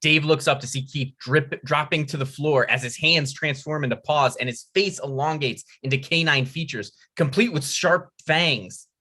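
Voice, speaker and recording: Bright-voiced man reading stage directions. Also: fairly high pitch, normal speed, few pauses. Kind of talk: scripted narration, comedy table read.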